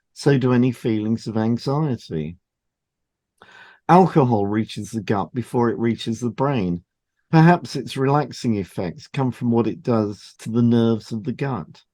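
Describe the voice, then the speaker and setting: Male podcast presenter, average pitch. Matter-of-fact, explanatory scripted read, dry studio-like closeness. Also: unhurried, mellow, dry recording. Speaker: podcast co-host and hypnotherapist. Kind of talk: scripted educational narration.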